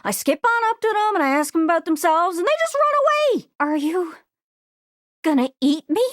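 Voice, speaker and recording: A woman's voice: very high voice, normal speed, dry recording, clean studio sound. A woman voicing an eager, curious young globe character in a video game, chatty and folksy, with a Newfoundland accent. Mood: eager, puzzled. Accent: Newfoundland Canadian. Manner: excitable, friendly, rambling, folksy.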